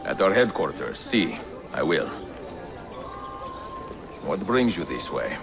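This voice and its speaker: An actor playing a calm, courteous middle-aged assassin master in a video game, with a deep gravelly voice and an Italian accent. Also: male voice, slow, crowd noise, heavily acted. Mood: measured, thoughtful. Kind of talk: cinematic video game acting. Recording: music behind the voice.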